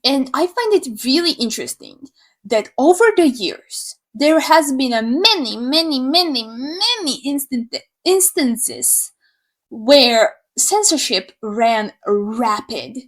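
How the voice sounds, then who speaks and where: Young woman from Slovakia, English, high voice, sarcastic, animated, swears casually. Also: slow. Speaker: YouTuber. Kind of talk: opinion rant to camera.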